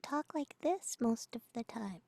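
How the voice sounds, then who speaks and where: A woman voicing a very quiet, timid female owl from a cartoon, soft and hushed. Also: a little high, expressive, a little slow, no echo.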